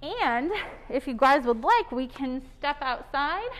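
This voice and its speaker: A woman's voice: sits high, very animated, slow, slight background noise. A young woman zookeeper, explaining things to viewers in a friendly way during a live stream from an indoor animal care room. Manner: friendly, explanatory, talks to viewers while working. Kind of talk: live behind-the-scenes zoo stream, answering viewer questions.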